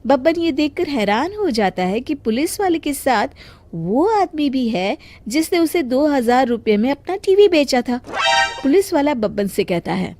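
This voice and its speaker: A woman's voice: high-pitched, sing-song, normal speed, mellow, no echo, faint music behind. A woman storyteller narrating a scripted tale for children.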